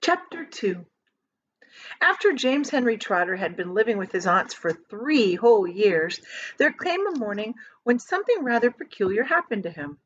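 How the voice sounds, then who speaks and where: A woman reading a children's storybook aloud as a teacher would, with dramatic emphasis and drawn-out key words. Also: a little high, sing-song, dull audio.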